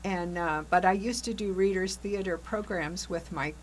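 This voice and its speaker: A woman's voice: fairly low pitch, moderate pace, slight background noise. An older woman, a former teacher and group facilitator, interviewing a fellow member and sharing her own memories in a friendly way. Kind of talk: casual interview talk.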